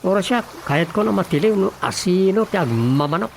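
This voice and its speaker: A man's voice: high-pitched, animated, fairly quick, vocal fry. A man playing a village councilman, a prodding sidekick in a radio drama comedy, conversational and questioning with an Ilocano accent. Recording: music under the voice.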